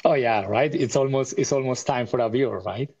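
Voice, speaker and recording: A man in his forties with a Colombian accent, a native Spanish speaker telling his personal story as a podcast guest in halting, non-native phrasing. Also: medium pitch, darkish tone, dull audio. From Bogota, Colombia. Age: 40s. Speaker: podcast guest, technical solutions architect.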